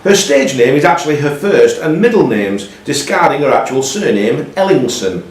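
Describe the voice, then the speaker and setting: Male quiz host, animated intonation, reading out questions, roomy and slightly distant pub recording. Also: medium pitch, moderate pace. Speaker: quiz master. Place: pub room during a live quiz.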